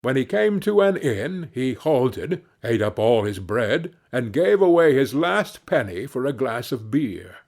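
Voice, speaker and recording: Unhurried, lively male storybook narrator. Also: mid-range voice, vocal fry. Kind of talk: scripted fairy tale narration.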